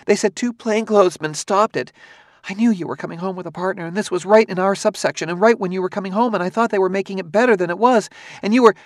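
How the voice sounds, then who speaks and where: A man voicing a nervous, worried wife in an audiobook, with a breathless, hurried, fretful manner. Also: a little high, very animated, no room sound, studio quality, voice pushed up. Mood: hurried worry. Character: nervous, anxious wife, smiling politely through worry. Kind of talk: audiobook character voice.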